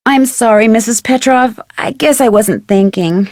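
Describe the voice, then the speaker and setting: A woman's voice: fairly high pitch, moderate pace, darkish tone, no echo, studio quality, close to an everyday voice. A woman playing a polite, inquisitive young detective in a video game, speaking in an earnest, thoughtful way. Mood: apologetic, hesitant.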